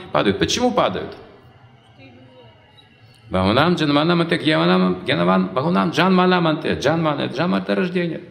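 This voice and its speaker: Gravelly man preaching in an echoey hall. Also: a little high. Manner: rhetorical questions, acts out imagined dialogues. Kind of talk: sermon, spiritual lecture on scripture. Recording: echoey hall with long reverb.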